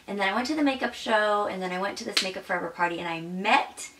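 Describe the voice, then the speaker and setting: A woman's voice: mid-range voice, fast talker, roomy sound, distant mic, noisy background. A young woman gushing over beauty products in a casual vlog, demonstrating them as she talks. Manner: bubbly and gushing, demonstrating makeup while talking. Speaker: beauty vlogger. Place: home makeup room indoors.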